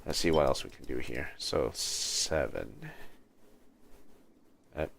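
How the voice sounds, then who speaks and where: Very deep, creaky-voiced male game streamer. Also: slow, a bit bright, a little hiss or hum. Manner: muttering to himself, thinking aloud. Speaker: livestreamer, gamer. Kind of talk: casual gameplay commentary. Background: game music.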